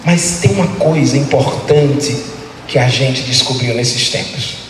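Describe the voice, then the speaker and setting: A man's voice: medium pitch, a little slow, a little hiss or hum. A man from Salvador gives a motivational talk to teachers. He keeps asking the audience rhetorical questions and tags his phrases with "né". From Salvador, Bahia, Brazil. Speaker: guest lecturer speaking to teachers. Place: large hall with an audience. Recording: very echoey hall, voice heard from a distance.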